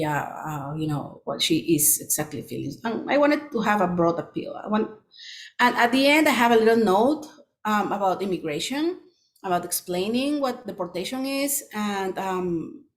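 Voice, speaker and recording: A middle-aged woman children's author from Peru, with a Peruvian Spanish accent, giving a presentation with many pauses and restarts. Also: medium pitch. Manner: frequent ums and restarts, earnest. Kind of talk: presentation talk.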